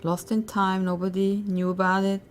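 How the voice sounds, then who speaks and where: A woman from Switzerland who manages a museum's insect collections, explaining her work in a relaxed, matter-of-fact documentary interview. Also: mid-range voice, normal speed, over music.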